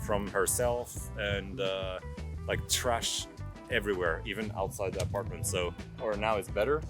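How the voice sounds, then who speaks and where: Low-voiced man vlogging casually. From France, moved to Norway at six. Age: 30s. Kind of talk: casual travel vlog narration.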